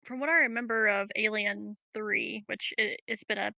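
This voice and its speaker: A woman's voice: medium pitch, steady tone, normal speed, vocal fry, bright, forceful tone, dry recording, lo-fi sound. A woman chatting casually as a player in a tabletop game, thinking aloud a little hesitantly. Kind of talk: casual chat.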